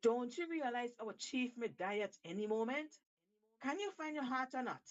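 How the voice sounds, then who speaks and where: A woman's voice: fairly high pitch, lively intonation, normal speed, lo-fi sound, voice pushed up, close to an everyday voice. A woman voicing an anxious, impatient male shark in a told story, urgent and theatrical. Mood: impatient, angry.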